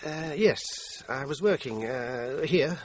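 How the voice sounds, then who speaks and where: A man's voice: mid-range voice, expressive, slow, dull audio, a little hiss or hum. An actor with a British accent playing a defensive, uneasy male suspect in a radio crime drama, speaking in a faltering, evasive way. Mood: hesitant, guarded.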